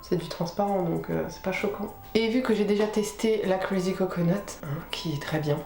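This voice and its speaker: Female beauty vlogger, informal tutorial chat, medium pitch, stuffed up with a cold, echoey room sound. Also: brisk, gravelly, warm tone. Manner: chatty, informal, talking while applying makeup. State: sniffly with a head cold. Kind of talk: casual makeup tutorial chat. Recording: roomy echo with music behind.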